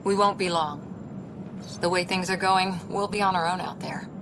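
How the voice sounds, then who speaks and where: A lowish female voice with clear noise behind it: an actress as a confident soldier in a video game. She is wry and blunt. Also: fairly even intonation, normal speed, close to an everyday voice. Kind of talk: video game cutscene acting. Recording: clear background noise and ship ambience.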